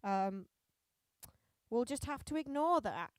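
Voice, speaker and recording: A woman's voice: fairly high pitch, animated, slow pace, dry recording, clean studio sound. A woman musician chatting casually on her live stream, fussing over her tuning and the stream setup. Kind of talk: casual chat between songs.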